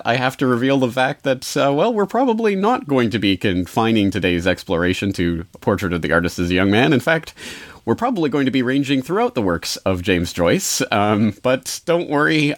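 A man's voice: mid-range voice, no echo. A man hosting a podcast, talking in a rambling, conversational way with many fillers. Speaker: podcast host.

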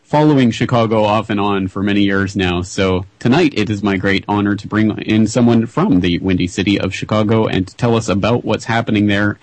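A man hosts a radio talk show in a measured, wry way, often restarting with "uh." His voice sits fairly low. It is recorded close and dry, with no room sound. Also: dry recording, poor audio. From Canada. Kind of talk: radio interview.

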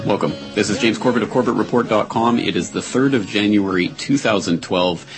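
A man hosting an interview program, talking at a measured pace with frequent filler words. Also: fairly low pitch, over music. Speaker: interview show host. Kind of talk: talk show monologue and interview.

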